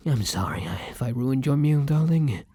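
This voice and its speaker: Man playing a breathy, courtly, hungry vampire. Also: medium pitch, animated, dark tone, no echo. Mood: disgusted, apologetic. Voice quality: breathy, often dropping to a whisper. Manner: formal, archaic, endearment-laden, deliberate speech with dramatic pauses. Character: kind, courtly but starving vampire lover, turning predatory. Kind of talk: ASMR roleplay addressed to the listener.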